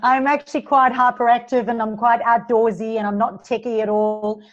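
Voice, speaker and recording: A woman's voice: a little high, level tone, normal speed, very noisy. A woman in her forties with a South African accent mixed with Australian, talking casually as an interview guest and laughing often between phrases. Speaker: guest, business coach. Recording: slightly dull lo-fi sound.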